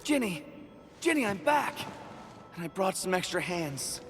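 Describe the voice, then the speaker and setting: A man's voice: high voice, big pitch swings, unhurried. An actor playing an earnest, anxious young man and devoted brother in a video game, with a pleading, hesitant manner. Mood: eager. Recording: long reverb, faint music behind.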